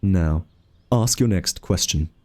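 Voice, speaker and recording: Man playing a fussy, low-voiced guardian angel. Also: takes it slowly, warm tone. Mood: grudging, prim. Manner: scolding, flustered, huffy, theatrical. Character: exasperated, fussy, protective male guardian angel, rule-bound and tsundere. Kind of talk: ASMR roleplay audio drama addressed to the listener.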